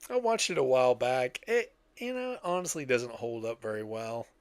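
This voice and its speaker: A man's voice: medium pitch, expressive, moderate pace, smooth, dry recording. An older man co-hosting a podcast in casual, conversational chat. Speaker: podcast co-host.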